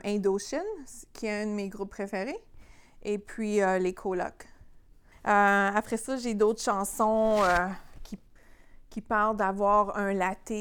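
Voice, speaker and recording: A woman with a Québécois French accent, speaking as an interview guest in an informal, halting conversational way. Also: mid-range voice, clear, resonant tone. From Quebec, Canada. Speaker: singer-songwriter, interview guest.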